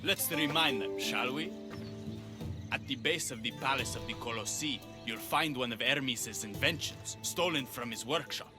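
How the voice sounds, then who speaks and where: A man's voice: medium pitch, moderate pace, over music, close to an everyday voice. A man playing an earnest, self-doubting rebel leader with a sorrowful streak in a video game. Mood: resolved. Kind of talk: video game cutscene acting.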